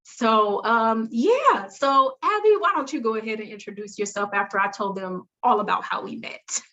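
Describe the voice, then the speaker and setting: A woman's voice: on the high side, expressive, moderate pace, sharp tone. A woman hosting a casual interview chat, asking friendly questions and giving short backchannels. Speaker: host. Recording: dull lo-fi audio.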